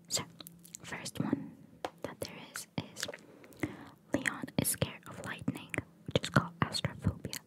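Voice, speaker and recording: Whispering woman, close-up ASMR. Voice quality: breathy unvoiced whisper. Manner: casual, rambling asides, repeats phrases softly. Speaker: ASMR video host. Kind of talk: ASMR whispering, reading trivia aloud. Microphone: very close microphone, cupped by hand.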